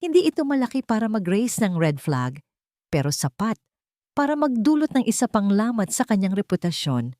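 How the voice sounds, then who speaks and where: A woman's voice: mid-range voice, big pitch swings, moderate pace, warm tone, no room sound, studio quality, voice pushed up, natural voice. A woman with a Filipino accent voicing a determined, wronged young mother in an audio drama. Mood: confident.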